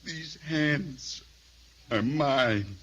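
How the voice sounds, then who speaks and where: An actor playing a gentle, naive man tormented by guilt, halting and anguished, in 1950s radio drama style. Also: medium pitch, lo-fi sound.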